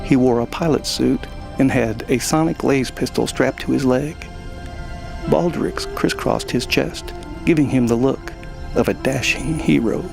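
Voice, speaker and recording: A man's voice: medium pitch, a little slow, creaky, music in the background. A man reading scripted story narration for an audio drama.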